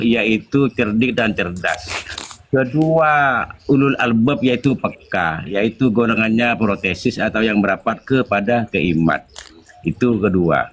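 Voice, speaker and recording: A man with a Madurese accent giving an informal religious talk in a group discussion, in a halting, code-switching delivery. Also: medium pitch, a bit bright, slight background noise. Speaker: guest speaker in a group discussion.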